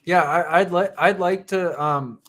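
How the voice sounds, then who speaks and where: A man with a fairly high-pitched voice hosts a relaxed live stream conversation. He sounds sympathetic and curious, speaking in an everyday, informal way. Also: measured pace. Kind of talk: casual live stream discussion.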